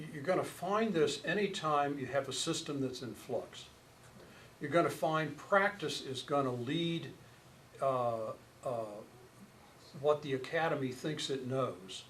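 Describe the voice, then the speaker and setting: A man in his sixties with an American accent, a lecturer thinking aloud in halting phrases as he answers seminar questions. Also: fairly high pitch. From Chicago, United States. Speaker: lecturer, education researcher. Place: seminar room, at a wooden podium. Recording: noticeable background room noise.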